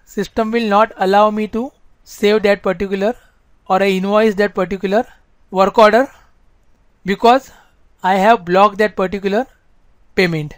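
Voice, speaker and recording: A woman with a smooth, clear voice works as a software instructor. She explains procedures step by step in a training lecture, at a middling pitch. The sound is dry and close. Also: dry recording.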